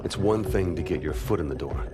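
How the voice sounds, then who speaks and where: A man's voice: sits low, animated, brisk. An actor playing a weary, earnest young soldier narrating his war in a scripted first-person voice-over, with music behind. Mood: somber, reflective. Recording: game cutscene with music behind.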